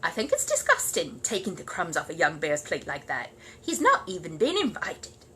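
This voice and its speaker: Anxious lady of the house acted by a woman reader; fussing storybook character, bright, animated pitch. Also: normal speed, slight background noise. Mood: indignant. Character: fretful, disapproving storybook women of the household.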